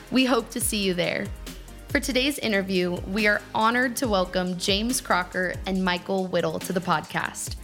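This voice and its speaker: A woman reading a scripted podcast introduction in a bright, promotional way. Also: medium pitch. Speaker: podcast announcer.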